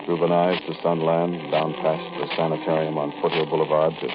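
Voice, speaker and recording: An actor playing a world-weary bank robber telling his story in a halting, colloquial, conversational way, in 1940s radio drama style. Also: very deep voice, completely flat delivery. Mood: brooding, confiding. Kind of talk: 1940s radio drama first-person narration. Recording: muffled, noisy vintage radio recording.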